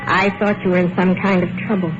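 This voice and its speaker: An actress playing an eerily calm, wounded and quietly vengeful wife in an old radio thriller, measured and wistful. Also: female voice, on the low side, over music, lightly acted. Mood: wounded, reproachful. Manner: measured, wistful, dramatic pauses. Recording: muffled, very noisy old radio recording.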